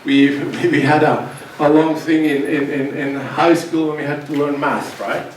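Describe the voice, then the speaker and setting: Man, middle-aged, guest lecturer; halting, restart-heavy delivery, echoey hall, faint hiss. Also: a little high, steady tone. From Finland. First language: Swedish. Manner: hesitant, many restarts and repeated words. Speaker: guest speaker, university lecturer. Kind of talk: seminar talk to students. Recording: echoey hall, distant mic, faint noise.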